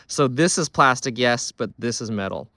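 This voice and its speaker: A man's voice: mid-range voice, expressive, moderate pace, gravelly, sharp tone, no room sound. Casual man reviewing a gadget. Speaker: product reviewer. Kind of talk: casual unscripted product review.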